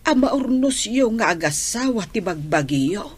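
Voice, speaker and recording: A woman playing a gentle, concerned grandmother in a radio drama, advising and soothing her grown daughter. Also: medium pitch, expressive, normal speed, husky. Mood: sorrowful concern.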